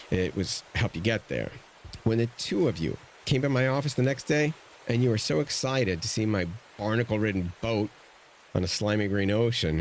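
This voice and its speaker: A man's voice: lowish voice, a little hiss or hum, rough recording. An actor playing a protective middle-aged uncle, a former navy man, in an audio drama, earnest and pressing.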